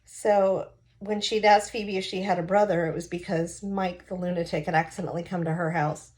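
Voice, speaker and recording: A woman reading a novel aloud expressively, pausing now and then to explain it to listeners. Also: lowish voice, steady tone, darkish tone.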